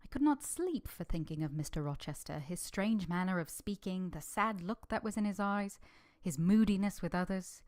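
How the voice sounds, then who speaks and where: A woman playing a quiet, plain-spoken young governess in a vintage-style radio drama, earnest and measured, both in dialogue and first-person narration. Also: lowish voice, expressive, lightly acted. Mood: reflective, uneasy.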